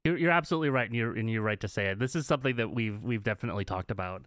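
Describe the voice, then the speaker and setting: A man co-hosting a podcast, casually discussing in an emphatic, halting way with repeated words. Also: fairly low pitch, a bit bright, no room sound. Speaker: podcast co-host.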